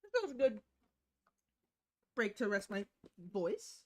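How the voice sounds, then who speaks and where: A woman's voice: medium pitch, big pitch swings, slow, a little hiss or hum. A woman game streamer talking casually during a live visual novel read-aloud.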